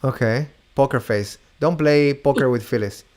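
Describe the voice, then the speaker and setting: A man's voice: medium pitch, a little mellow. Argentinian-accented male host over a video call, playful and teasing, stretches out the reveals. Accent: Argentinian with Hebrew influence. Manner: teasing, upbeat, draws out reveals slowly. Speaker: mentalist show host. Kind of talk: playful live video-call variety show.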